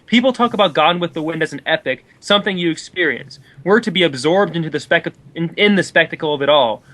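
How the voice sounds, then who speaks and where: Sarcastic guy griping about movies, kinda high sharp voice, exasperated. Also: animated, fast talker. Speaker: co-host of a film commentary show. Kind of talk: sarcastic reading and casual commentary on an article.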